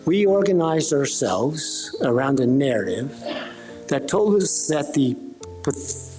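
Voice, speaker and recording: A man's voice: on the high side, very animated, takes it slowly, mellow, faint music behind. A man giving explanatory interview commentary with emphatic repetition.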